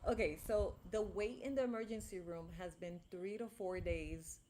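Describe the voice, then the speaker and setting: A woman's voice: medium pitch, normal speed, warm tone, slight background noise. A young woman chatting on a livestream, rambling, with many restarts and fillers, into a mic she holds close. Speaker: vlogger. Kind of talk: casual livestream chat. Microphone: clip-on mic, later held closer.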